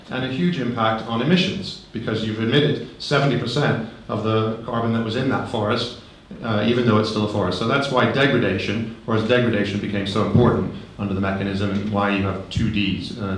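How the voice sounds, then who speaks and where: A man giving a relaxed conference talk, conversational, with frequent ums and light humor, into a handheld microphone. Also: lowish voice, slight background noise. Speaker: conference speaker. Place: large conference hall. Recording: very echoey hall.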